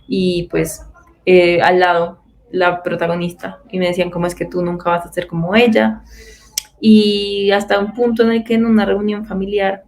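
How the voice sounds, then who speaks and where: A woman's voice: medium pitch, gravelly, a little mellow. A woman in her twenties from Bogotá with a Colombian accent, earnestly telling her personal faith story with frequent fillers and restarts. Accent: Bogotá Colombian Spanish. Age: 20s. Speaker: testimony speaker.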